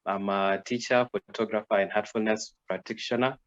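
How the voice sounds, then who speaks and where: A man from Kenya introduces himself in a low voice, pausing often with fillers. His audio is muffled and dull. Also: fairly even intonation. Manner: hesitant, many uhs. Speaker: teacher.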